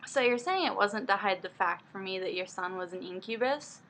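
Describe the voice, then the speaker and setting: A woman's voice: mid-range voice, animated, moderate pace, creaky, fairly bright tone, slight background noise. A woman voicing a demanding, amorous and suspicious young nobleman in a dramatic book reading. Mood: suspicious, accusing. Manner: bold, demanding.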